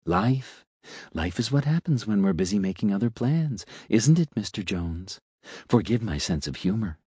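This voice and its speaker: A man voicing a polite, complacent talking elevator that muses like a philosopher, speaking softly and dryly. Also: lowish voice, sing-song, moderate pace, breathy edge, dry recording, studio quality. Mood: musing. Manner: polite, complacent, quietly musing.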